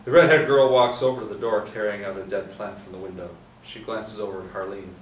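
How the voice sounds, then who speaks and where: A man reading screenplay stage directions aloud at a table read, in a plain, even way. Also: on the low side, brisk. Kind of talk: script reading. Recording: roomy echo, distant mic, faint hiss.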